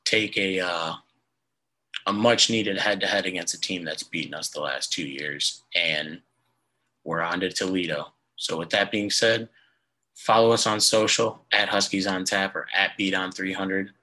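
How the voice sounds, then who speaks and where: A young man hosting a casual sports podcast, hoarse from losing his voice, rambling with lots of fillers. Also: low-pitched, measured pace, sharp tone, low-quality recording. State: hoarse, lost voice. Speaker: podcast host.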